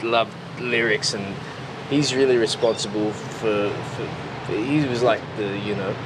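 An Australian man in his early thirties talks in a fairly low voice. He is informal and rambling, peppering his interview answers with filler phrases. Clear background noise runs under the recording. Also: steady tone, a little slow. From Newcastle, Australia. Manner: informal, rambling, frequent "like" and "you know". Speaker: musician, interview guest. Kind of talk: casual conversational interview.

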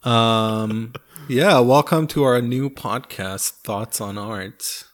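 A man's voice: medium pitch, takes it slowly. A man who speaks English as a second language, co-hosting a podcast in wry, joking casual chat from a home studio. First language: not English. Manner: wry, joking, laughs often. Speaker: podcast co-host.

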